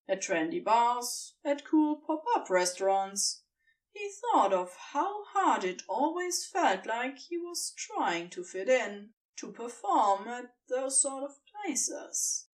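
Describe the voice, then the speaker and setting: A woman narrates a story in a fairly high, expressive voice with lively swings of pitch. She takes her time over the scripted prose and sounds a little way from the mic. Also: takes it slowly, far from the mic. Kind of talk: scripted fan fiction narration.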